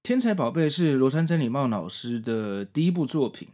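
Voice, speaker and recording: A man's voice: medium pitch, lively intonation, normal speed, mellow. A man in his forties with a Taiwanese Mandarin accent, hosting a podcast and reviewing manga in conversational narration. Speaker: podcast host.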